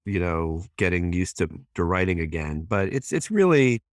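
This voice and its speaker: A man in his sixties with a deep, low-pitched voice, speaking as an interview guest. He answers thoughtfully, often pausing with fillers and restarting his sentences. The recording is dry and close. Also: big pitch swings, dry recording. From born in Hong Kong, raised in US. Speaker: guest, president of a human rights group.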